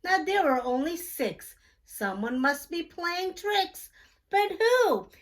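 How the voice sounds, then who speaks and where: A woman reads a children's story aloud at an unhurried pace. Her voice is high and swings expressively, with a sing-song, emphatic delivery that suits storytime. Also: lively intonation. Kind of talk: slow expressive read-aloud of a picture book for children.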